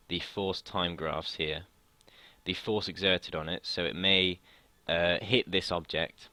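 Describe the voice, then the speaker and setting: Deep-voiced young man, slightly croaky. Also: bright, forceful tone, no room sound. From United Kingdom. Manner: hesitant, restarts sentences, informal asides. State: slightly croaky from long talking. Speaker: student tutor. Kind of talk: casual explanatory lesson.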